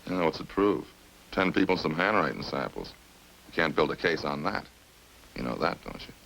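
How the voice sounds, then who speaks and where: A man's voice: rumbling low, lively intonation, fairly quick, vocal fry, fairly bright tone, full character voice, poor audio. A man playing a talkative, smug murder suspect in a radio crime drama, folksy and cagey as he casually needles the police. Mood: defiant, needling. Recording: hissy old radio recording.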